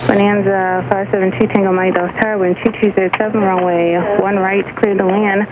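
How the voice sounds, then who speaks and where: A woman's voice: mid-range voice, flat delivery, fairly quick. A woman working as an airport tower controller, giving clipped procedural instructions over aviation radio. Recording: muffled, noisy aviation radio.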